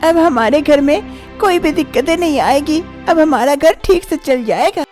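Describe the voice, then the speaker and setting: A woman's voice: high-pitched, moderate pace, darkish tone, soft background music, lightly acted. A woman voicing a poor, naive and deferential young housemaid wife in a cartoon story. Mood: reassuring.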